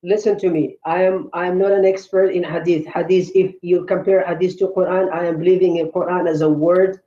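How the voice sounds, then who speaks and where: A man's voice: sits high, little pitch movement, brisk, warm tone, lo-fi sound. A man defending his view in a heated religious debate, often stammering and restarting his sentences.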